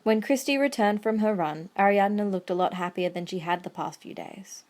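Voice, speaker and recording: A woman's voice: mid-range voice, normal speed, darkish tone. A woman reading scripted third-person narration for an amateur audio drama.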